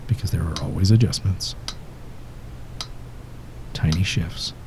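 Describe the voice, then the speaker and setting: A man narrating a guided sleep hypnosis session in a soothing, measured way, pausing between short phrases and letting endings drop softly toward a whisper. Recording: steady background noise, low rumble and ticking. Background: ticking clock-like rhythm.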